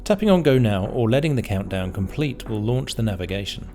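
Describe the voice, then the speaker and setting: A man with a lowish voice narrates a scripted how-to guide. His delivery is measured and explanatory, set out step by step for the learner. Music plays clearly behind him. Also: sing-song, mellow. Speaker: tutorial narrator. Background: background music.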